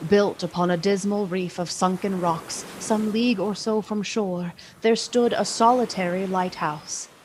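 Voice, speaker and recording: Lady as solemn radio play narrator, mid pitch, measured and expressive, old christmas story. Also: slight background noise, close to an everyday voice, rough recording. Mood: dramatic storytelling. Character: solemn female storyteller of a Victorian Christmas tale.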